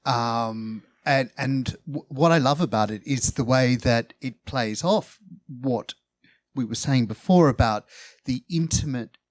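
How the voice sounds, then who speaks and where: A man speaking in a literary panel discussion, choosing his words deliberately in articulate, scholarly phrases. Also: medium pitch, no echo.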